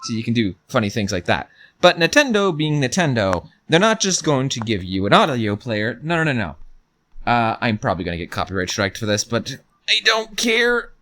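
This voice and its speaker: A man's voice: mid-range voice, sing-song, moderate pace, a bit bright. A man presenting a hands-on tech review, informally talking through each step as he goes. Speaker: video reviewer.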